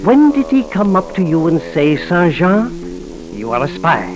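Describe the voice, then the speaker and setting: Higher-pitched, skeptical American investigator. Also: male voice, sing-song, normal speed, over music, rough recording. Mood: impatient. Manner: impatient, questioning. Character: skeptical, exasperated American psychologist investigating voodoo. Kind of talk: 1940s radio acting. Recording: hissy 1940s radio broadcast recording.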